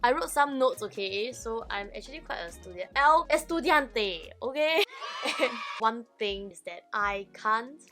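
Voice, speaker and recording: A woman's voice: fairly high pitch, expressive, measured pace, music in the background. A woman vlogging casually about learning a language, chatty and playfully teacherly, often checking in with the viewer. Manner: chatty, keeps checking in with "okay?". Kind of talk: casual vlog chat.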